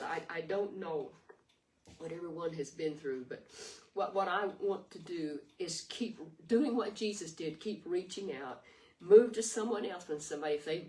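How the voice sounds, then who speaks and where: An older woman with a raspy voice giving an earnest, rambling devotional talk full of restarts. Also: fairly low pitch, a little mellow. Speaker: devotional video host. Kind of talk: informal devotional sermon. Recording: distant mic with faint noise.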